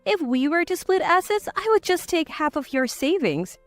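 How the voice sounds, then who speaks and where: A woman's voice: very high voice, expressive, moderate pace, no room sound, soft background music, pitched above the performer's own voice. A woman voicing a cool-headed, defiant wife in a dramatized story, assertive and cutting. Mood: cool, scornful.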